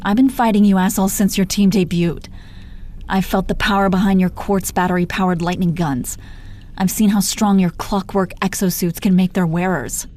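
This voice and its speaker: A woman's voice: medium pitch, steady tone, normal speed, a little mellow, slight background noise, natural voice. A woman playing a gloating, menacing female supervillain in a roleplay audio, condescending, sardonic and exasperated, with heavy swearing. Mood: exasperated lecturing. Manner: taunting, condescending pet names, heavy swearing. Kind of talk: dramatic roleplay audio monologue.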